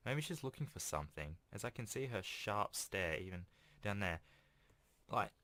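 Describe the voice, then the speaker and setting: A man's voice: lowish voice, animated, normal speed, pushed and bright, dry recording. A man hosting a video game playthrough, reading a visual novel aloud with casual, self-deprecating asides. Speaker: let's play host.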